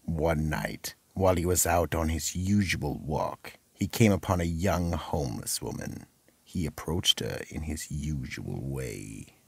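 A man delivering scripted narration for a radio mystery in a measured, grave manner. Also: rumbling low, animated, vocal fry, husky, no room sound.

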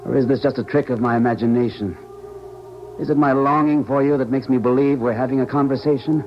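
An actor playing an earnest widowed scientist and professor, with dramatic 1970s American radio drama delivery. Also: male voice, medium pitch, fairly even intonation, fast talker, slight background noise, lightly acted. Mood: searching. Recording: muffled old radio broadcast, music behind.

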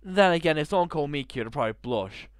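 A man in his twenties reading a visual novel aloud and reacting with animated, laughing commentary, speaking into a headset mic at a desk in his bedroom. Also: on the high side, quick pace, smooth, no room sound, clean studio sound. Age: 20s. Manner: animated, laughing asides and sudden shouts. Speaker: gaming channel host.

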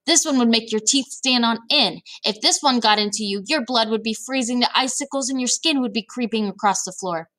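A woman voicing a big, kindly, excitable male giant in a storybook, with exclamations, muddled grammar and invented words. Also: fairly high pitch, fairly quick, few pauses, close to an everyday voice. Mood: agitated.

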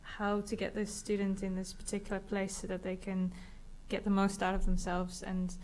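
A woman's voice: mid-range voice, completely flat delivery, moderate pace, a little mellow, poor audio. A woman who teaches, thinking aloud reflectively during a panel discussion. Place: large dark auditorium stage.

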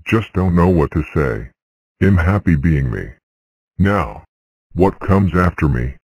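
A man with a very deep voice reads cartoon lines flat and steady. The recording is dry and close. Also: slow, husky, no room sound. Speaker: voice of number characters. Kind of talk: fan cartoon voice-over.

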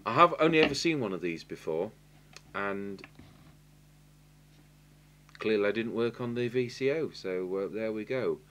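A middle-aged man with an English accent gives an unscripted hands-on technical tutorial, halting and matter-of-fact as he thinks aloud while working. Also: lowish voice, lively intonation, fairly bright tone. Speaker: radio repair technician giving a demonstration. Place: indoor electronics workbench. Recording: clear hiss and electrical hum.

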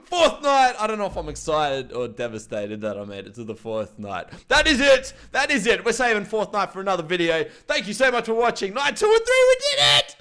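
A young man playing a horror game and recording through a headset at home, muttering to himself and breaking into panicked shouts. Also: high voice, huge pitch swings, a little fast, few pauses, smooth. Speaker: gaming YouTuber. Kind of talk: horror game let's play. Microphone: over-ear headset.

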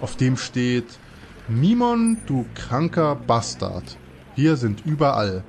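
A man's voice: mid-range voice, expressive, takes it slowly, darkish tone. A man playing a timid, anxious schoolboy detective in a parody detective audio play. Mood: curious.

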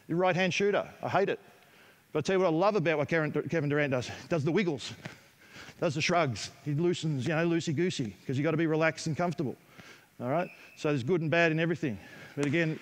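A middle-aged man with an Australian accent, coaching players in a gym with brisk, direct instructions. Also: on the high side. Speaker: basketball coach. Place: large indoor basketball gym. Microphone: headset mic.

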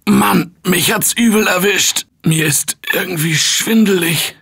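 A man voicing an earnest, panicky office guy surviving a zombie apocalypse in a video game, husky and emphatic in colloquial short barks. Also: sits high, unhurried, sharp tone, heavily acted. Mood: pained, despairing.

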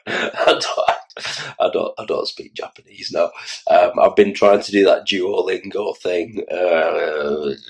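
A tired Irish man in his forties with a Dublin accent, talking casually and haltingly to his phone camera in a small room. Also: sits low, fairly even intonation, vocal fry. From Ireland. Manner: rambling, halting, self-mocking. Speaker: children's entertainer and vlogger. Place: small room indoors, in an office chair. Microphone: phone camera held close.

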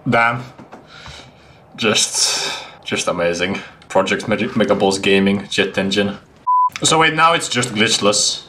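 A man's voice: fairly low pitch, unhurried, noisy background. A young man giving casual livestream commentary as he reacts to a game. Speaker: game streamer. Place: small room at home, indoors. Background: game music.

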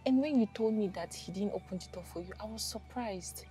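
A woman's voice: medium pitch, fairly quick, dark tone, faint music behind, close to an everyday voice. An actress playing a young woman consoling her friend with soothing, repeated reassurance. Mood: explaining urgent. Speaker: comforting friend. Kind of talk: film drama acting.

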